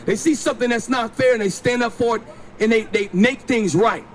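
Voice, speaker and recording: A man's voice: extremely high pitch, fairly quick, soft background music. A man preaching outdoors on a city street, insistently explaining scripture and telling a companion to read. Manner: insistent, instructs a reader to read. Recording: loud street traffic behind. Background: passing vehicles.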